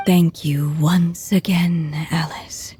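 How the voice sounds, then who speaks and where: Slow, soft motherly witch. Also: lowish voice, little pitch movement, a little hiss or hum. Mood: wistful. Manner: tender, measured. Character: gentle, solemn, motherly storyteller witch bidding farewell. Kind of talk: video game character acting. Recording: loud music behind the voice.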